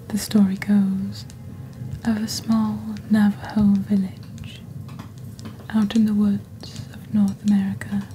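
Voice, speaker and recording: A woman playing a composed, eerie witch saleswoman in a hushed, deliberate ASMR whisper, close to the microphone. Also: mid-range voice, slight background noise, natural voice, rough recording. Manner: slow, deliberate, hushed storytelling delivery. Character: female witch, eerie, composed seller of cursed wares. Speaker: ASMR host. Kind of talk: soft-spoken whispered ASMR, scripted shopping-channel roleplay. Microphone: close studio microphone on a desk.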